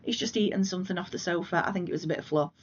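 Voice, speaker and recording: Hoarse chatty British crafting vlogger woman. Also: lowish voice, very fast, dull audio. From United Kingdom. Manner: rambling, self-deprecating, talks to her cat. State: hoarse from a cold. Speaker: flosstube vlogger. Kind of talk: casual chatty vlog. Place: living room at home.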